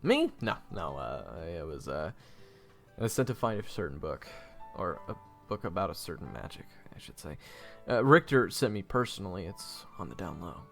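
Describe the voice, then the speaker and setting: Guy playing a young adventurer, casual n evasive, swoops around a lot, creaky, music behind. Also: low-pitched, big pitch swings, normal speed, fairly bright tone, exaggerated voice. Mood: sheepish, hesitant. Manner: wry, casual, awkward and hesitant. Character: flippant, evasive young man, hapless fantasy adventurer. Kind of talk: audio roleplay acting with first-person narration.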